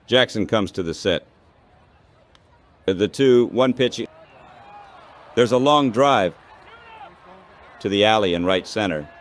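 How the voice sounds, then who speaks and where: A man calling baseball play-by-play in measured, clipped phrases, like scripted radio commentary. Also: on the low side, a faint crowd.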